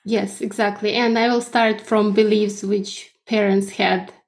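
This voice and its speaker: A woman in her thirties speaks English with a Russian accent at a middling pitch. She is a podcast guest giving conversational, explanatory answers, and she often checks that the listener follows. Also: level tone, unhurried. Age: 30s.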